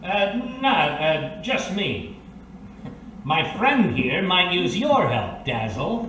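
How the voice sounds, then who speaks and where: A man's voice: fairly high pitch, very animated, slow pace, sharp tone, exaggerated voice. A man playing a wry, determined hard-boiled private eye in an old-time radio detective drama. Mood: awkward, cautious. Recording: distant echoey room with faint noise.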